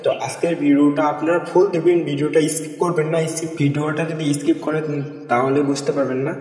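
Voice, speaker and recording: Distant reverberant recording, higher-pitched man, Bangladeshi accent, informal tutorial host, repetitive instructions, English tech terms. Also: flat delivery, a little fast, darkish tone. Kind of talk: informal step-by-step screen tutorial. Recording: echoey, reverberant, distant from the mic.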